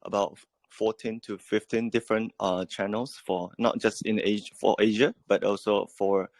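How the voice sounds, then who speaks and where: A man whose first language is Japanese, answering questions in an online AMA as a project team member, halting and full of fillers. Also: fairly low pitch, fairly even intonation, vocal fry, no room sound. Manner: halting, many fillers and restarts.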